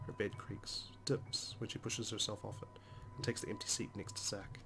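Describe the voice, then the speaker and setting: A man's voice: on the low side, level tone, normal speed, gravelly, noisy background. A man reading first-person visual novel narration aloud as a dramatic story. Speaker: let's play host reading the game's text. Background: game music.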